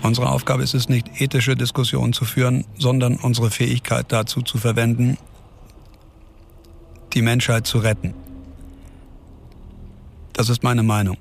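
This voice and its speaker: An actor playing a resolute scientist and doctor in a radio drama, speaking solemnly and emphatically in a public-speech style. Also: male voice, mid-range voice, level tone, normal speed, creaky, pauses often, a little hiss or hum, close to an everyday voice. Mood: defiant, solemn. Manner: solemn, emphatic, repeating phrases. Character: resolute male scientist and doctor defending his experiment. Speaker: scientist and physician character.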